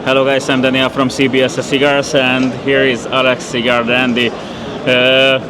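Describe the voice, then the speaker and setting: A man with a Hungarian accent, hosting a casual interview in halting English with many fillers and restarts. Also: mid-range voice, monotone, loud music behind the voice. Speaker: podcast host.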